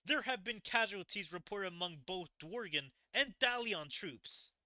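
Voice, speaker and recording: A man's voice: sits high, animated, measured pace, bright, forceful tone, no echo, studio quality, voice pushed up, full character voice. A man voicing an urgent, dutiful royal messenger in a game, with a formal way of reporting.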